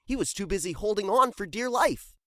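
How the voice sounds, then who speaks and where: A woman reading a children's book aloud in an expressive storytelling style. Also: medium pitch, brisk, dry recording, clean studio sound.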